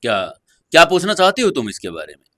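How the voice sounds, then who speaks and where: A male narrator plays a conciliatory CEO husband in an audio drama. He is restrained and earnest, with a bright, lifted voice recorded very dry. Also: on the high side, huge pitch swings, no echo, pitched above the performer's own voice. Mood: guarded, questioning. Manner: gentle, measured, earnest. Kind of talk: Hindi audio story dialogue.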